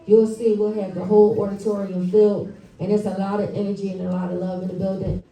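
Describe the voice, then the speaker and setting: A woman's voice: medium pitch, little pitch movement, fast, gravelly, warm tone. A woman who teaches and writes children's books, giving an encouraging live talk straight to an audience of children and families. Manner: encouraging, addresses the audience directly. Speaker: children's author and school teacher. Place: library event room, speaking to a live audience. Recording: roomy, echoey live event, distant mic.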